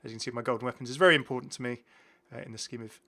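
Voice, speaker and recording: A man's voice: medium pitch, fairly even intonation, racing, bright, forceful tone. A man presenting a gaming video in rambling, casual commentary, chatty and enthusiastic as he goes off on tangents.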